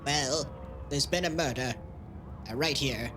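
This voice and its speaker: An actor playing a nervous, deferential deputy in a noir audio drama, hesitant, apologetic and stammering. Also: male voice, medium pitch, measured pace, background noise, close to an everyday voice.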